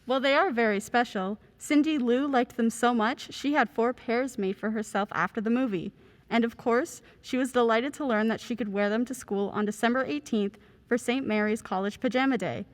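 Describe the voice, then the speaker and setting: A woman's voice: fairly high pitch, natural voice. A woman playing a chirpy co-announcer, reading scripted sponsor banter for a radio show.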